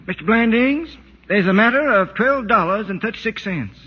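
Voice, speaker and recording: An actor playing a folksy, laconic country tradesman in a 1940s radio drama, husky and hesitant. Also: high voice, animated, normal speed, a little hiss or hum. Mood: matter-of-fact. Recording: reverberant, dull old radio broadcast.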